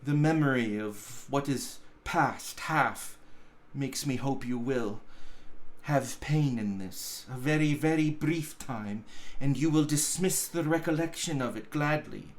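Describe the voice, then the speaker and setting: Guy reading as a sad gentle young woman in a storybook, really slow, grave n careful. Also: medium pitch. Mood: resigned sorrow. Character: gentle, sorrowful young woman releasing her betrothed.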